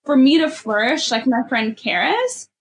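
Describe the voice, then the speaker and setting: A woman's voice: sits high, very animated, normal speed. A young adult woman from Ethiopia with a California Valley girl accent, reflecting candidly as a guest and filling her talk with "like" and "you know". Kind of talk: candid personal conversation.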